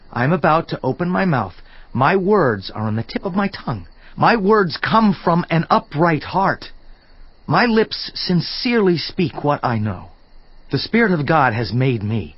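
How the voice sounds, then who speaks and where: A man's voice: medium pitch, husky, no echo. Elihu, a man playing an outspoken young man. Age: young adult. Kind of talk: dramatized scripture reading. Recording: slightly muffled audio.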